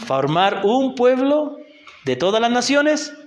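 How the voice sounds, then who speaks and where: A man's voice: extremely high pitch, sing-song, slow pace, reverberant. A Chilean man, a missionary teacher, leading a Bible class and patiently asking the group questions, often checking in with "ya?". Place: church hall in a rural community.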